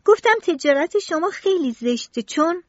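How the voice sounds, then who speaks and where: A woman's voice: very high voice, animated, no room sound, pitched above the performer's own voice. A woman voicing an earnest young boy who speaks up with indignation. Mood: indignant. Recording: dull lo-fi dry recording.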